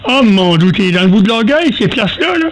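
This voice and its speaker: Older high-voiced Quebec man, folksy and halting. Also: sing-song, noisy background. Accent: Quebec French. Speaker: amateur radio operator. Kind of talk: casual ham radio chat, rambling anecdotes.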